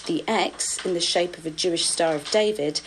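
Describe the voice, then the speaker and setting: Lowish-voiced female reporter, faint noise. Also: moderate pace. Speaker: radio reporter, interviewer. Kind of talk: on-location radio reporting. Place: artist's studio in Paris suburbs.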